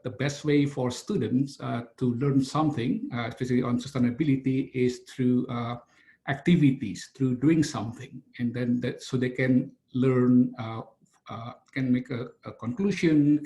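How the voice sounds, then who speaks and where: A middle-aged man from Indonesia, giving a webinar lecture over a video call, halting and full of "uh" fillers and restarts. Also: medium pitch, fairly even intonation, warm tone. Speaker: engineering professor.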